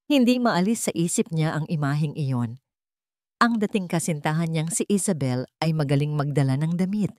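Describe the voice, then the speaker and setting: A woman narrating a romance story in a dramatic, expressive reading style. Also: deep voice, no echo, studio quality.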